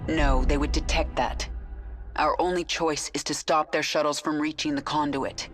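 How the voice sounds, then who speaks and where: A woman's voice: low voice, steady tone, normal speed, music in the background, lightly acted. An actress playing a ruthless alien swarm queen who speaks in a cold, controlled, commanding way.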